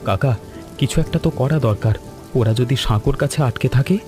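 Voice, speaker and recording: A man voicing an anxious, earnest village family man in an animated story. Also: mid-range voice, fairly quick, music in the background, natural voice. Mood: worried, grateful. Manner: worried, earnest. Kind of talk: Bengali animated story dialogue.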